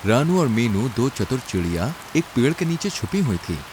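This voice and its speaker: A man's voice: mid-range voice, big pitch swings, normal speed, background noise. A man narrating a scripted children's story.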